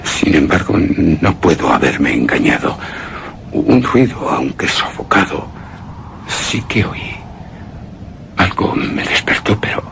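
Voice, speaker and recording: A tormented, haunted keeper of a deathwatch in a horror radio drama. His deep voice moves very slowly and haltingly, with a hard, tense edge. The delivery is hushed and theatrical. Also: creaky, slight background noise, heavily acted. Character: tormented male narrator keeping deathwatch over a shrouded woman. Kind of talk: Spanish-language suspense and horror radio drama monologue.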